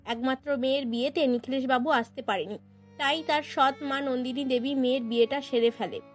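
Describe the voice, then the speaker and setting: A woman's voice: sits high, steady tone, brisk. A woman reading scripted narration that introduces the characters of a cartoon story. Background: music.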